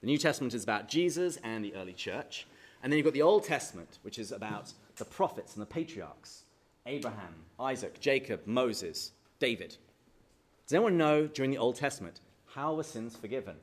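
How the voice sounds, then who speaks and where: A man's voice: medium pitch, animated, brisk. A man with an English accent giving a light-hearted church talk to a live audience, quizzing them with jokes before leading a prayer. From England. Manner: jokey and chatty, quizzes the audience, then leads prayer solemnly. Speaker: speaker at a parish talk. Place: hall with an audience.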